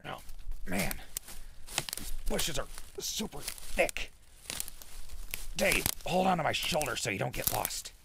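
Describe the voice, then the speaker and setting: Casting: male actor as jittery post-apocalyptic radio diarist, heavy fry, dramatic and rambling, audio drama, slight background hiss. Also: a little high, sing-song, exaggerated voice. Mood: nervous, relieved. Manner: rambling, dramatic, with hesitant pauses. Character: excitable, jittery wasteland radio host and survivor. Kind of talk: audio drama acting as recorded diary.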